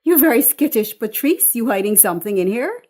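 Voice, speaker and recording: A woman's voice: fairly high pitch, sing-song, brisk, warm tone. A woman voicing a brash, teasing mother of sixty in a dramatic script reading, nagging and colloquial.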